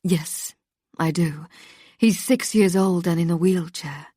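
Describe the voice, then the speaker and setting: A woman voicing a calm, relaxed adult male kidnapper with a Russian accent in an audiobook. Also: on the low side, takes it slowly, breathy edge, no room sound, studio quality. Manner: relaxed, even and controlled. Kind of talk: audiobook character voice.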